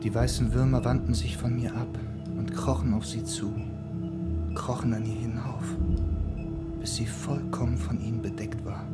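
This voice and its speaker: A man's voice: mid-range voice, close to an everyday voice. An actor playing a young rebellious son in a radio play, recounting his escape in a haunted, intense way. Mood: hushed. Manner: intense, haunted storytelling that swells to shouting. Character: young man, rebellious son who escaped underground world.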